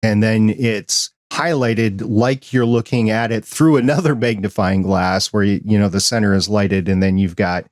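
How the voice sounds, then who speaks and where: A man's voice: on the low side, normal speed, few pauses, dry recording, clean studio sound. A man hosting a podcast interview, asking friendly, curious questions in a casual chat. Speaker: podcast host.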